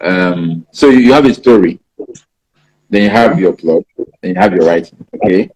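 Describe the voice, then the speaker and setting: A Nigerian man in his fifties, a native Igbo speaker, answers interview questions about writing in a rambling way, filling pauses with "you know". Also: sits low, darkish tone, poor audio. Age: 50s. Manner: rambling, many "you know" fillers and restarts. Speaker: writer being interviewed.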